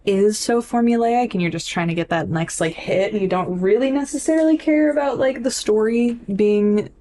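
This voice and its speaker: A woman's voice: mid-range voice, moderate pace, warm tone. Casual sweary woman podcaster, noisy recording. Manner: informal, sweary, peppered with "like". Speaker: podcast co-host. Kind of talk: casual chat about books.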